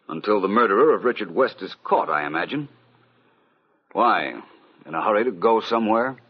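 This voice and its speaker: An actor playing an urbane, dry and politely probing detective with a husky voice in an old-time radio mystery. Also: male voice, on the low side, animated, normal speed. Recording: muffled vintage radio recording.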